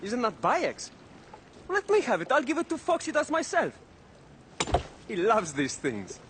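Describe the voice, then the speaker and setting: A man's voice: extremely high pitch, huge pitch swings, a little slow, noisy background, full character voice. A man playing a teasing, pushy companion in a video game set in antiquity, prying and needling. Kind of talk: video game cutscene acting.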